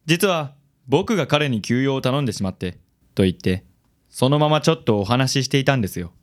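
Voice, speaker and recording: A man's voice: mid-range voice, lively intonation, a little fast, lightly acted. Self-admiring male investigator acted by a man, medium register, suave and theatrical, for radio drama. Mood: earnest, reporting. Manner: smooth, theatrical self-assurance.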